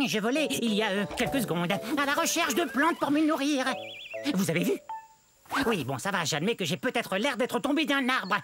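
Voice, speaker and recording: A woman voicing a nervous, defensive male feathered dinosaur in a children's cartoon, flustered and self-justifying. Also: high-pitched. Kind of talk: animated children's educational cartoon acting.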